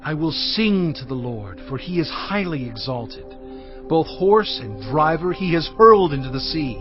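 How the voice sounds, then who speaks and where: Bible drama: exultant, reverent leader, unhurried declamation, cinematic score behind. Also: male voice, on the high side, big pitch swings. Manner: slow, fervent, declamatory recitation. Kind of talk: dramatized audio Bible acting. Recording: muffled recording under cinematic music.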